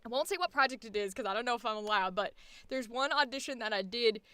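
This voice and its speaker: Animated, bright-voiced woman voice actor. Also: fairly high pitch, fast, clear, resonant tone, dry recording. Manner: animated, swears a lot, laughs often. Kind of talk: casual chat.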